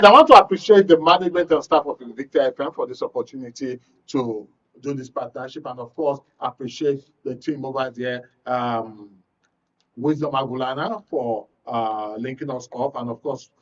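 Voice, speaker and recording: A man's voice: medium pitch, distant mic. A Nigerian man hosting a live talk show on radio and TV. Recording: dull audio, away from the mic.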